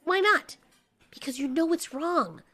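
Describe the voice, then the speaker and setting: A woman's voice: high-pitched, lively intonation, measured pace, pushed and bright, voice pushed up, heavily acted. A woman playing a regal female moth monster in an audio drama, stern and protective, speaking in a firm, composed way. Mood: firm, argumentative.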